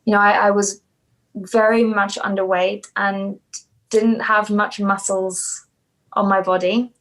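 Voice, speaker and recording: A woman's voice: medium pitch, level tone, takes it slowly, a bit bright, poor audio. A young woman from South Africa, a dancer being interviewed, talking casually with many hesitations and restarts. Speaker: podcast guest, dancer.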